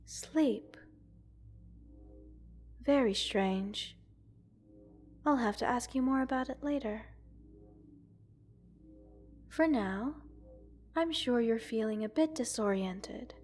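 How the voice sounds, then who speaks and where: A gentle, curious alien researcher, acted by a woman in an ASMR roleplay, with a fairly high voice. She speaks very slowly, in formal and precise wording, softly reassuring the one she studies. Music plays underneath. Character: gentle, curious multi-world alien scientist studying a captive human. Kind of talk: ASMR roleplay, addressing the listener.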